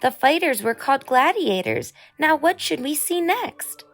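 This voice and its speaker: A woman's voice: sits high, very animated, unhurried, faint music behind, pitched above the performer's own voice, full character voice. A woman voicing a knowledgeable, playful young boy in a children's storybook, explaining things matter-of-factly. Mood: informative.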